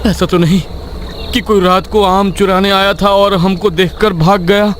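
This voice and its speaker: A man voicing a frightened, gruff village farmer and husband with a Bihari accent, blunt and agitated, in a horror story. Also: high-pitched, steady tone, a little slow, fairly bright tone, a little hiss or hum, pitched above the performer's own voice. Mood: uneasy. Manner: blunt, agitated rural speech. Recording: constant night cricket and insect sounds behind.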